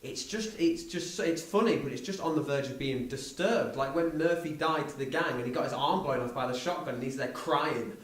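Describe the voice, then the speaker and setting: A man's voice: a little high, normal speed, hardly any pauses. A man speaking as a podcast host in a casual film discussion. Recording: roomy echo, distant from mic.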